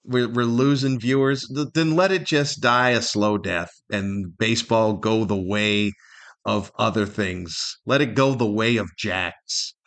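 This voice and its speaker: A man's voice: lowish voice, measured pace, fairly bright tone. Grumbling middle-aged male podcast host. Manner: grumbling, incredulous rhetorical questions. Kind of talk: humorous opinionated solo monologue.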